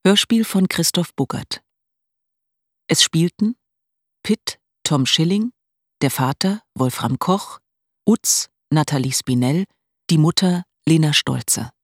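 A radio announcer reading formal scripted credits in a measured, list-like way, with a breathy voice close on a studio mic. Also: medium pitch, lively intonation, no room sound.

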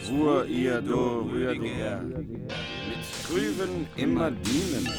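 Slow man reciting old verse. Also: medium pitch. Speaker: narrator. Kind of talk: reciting Middle High German verse. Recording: loud music behind.

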